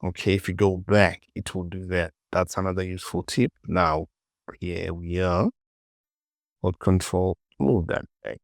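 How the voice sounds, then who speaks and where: A man narrating a casual step-by-step software tutorial in short instructions, often repeating phrases and asking himself questions. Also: low voice, steady tone, a little slow, vocal fry, dry recording, studio quality.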